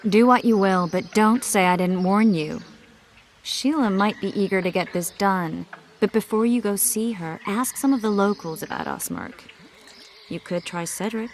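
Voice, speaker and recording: An actress playing a knowing, forthright mage and healer in a video game, explaining things in a measured way. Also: female voice, mid-range voice, a little hiss or hum, lightly acted. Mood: brisk, advising.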